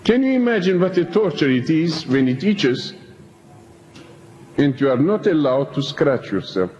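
An older man, speaking slowly and emphatically as he recounts his prison experience to an audience. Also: on the high side, very animated, darkish tone, a little hiss or hum. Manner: slow, deliberate, emphatic phrasing with pauses. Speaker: preacher telling his prison story. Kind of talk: slow spoken testimony to an audience. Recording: dull lo-fi archive tape in a reverberant hall.

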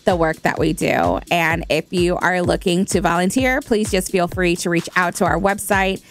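A woman's voice: on the low side, moderate pace. A woman from Malawi, a nonprofit founder, giving conversational answers as a radio guest. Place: radio studio.

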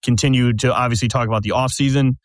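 A man's voice: medium pitch, fairly even intonation, quick pace, dry recording, studio quality. A man hosting a casual sports podcast, talking in a conversational way, full of fillers, and steering the talk with questions. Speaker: podcast host. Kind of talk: casual chat.

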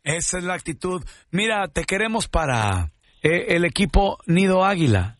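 A man's voice: sits high, very animated, moderate pace, a bit bright, dry recording, clean studio sound. A man with a Mexican accent making a prank phone call, teasing and persuading in a mock-earnest way. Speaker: radio show prank caller.

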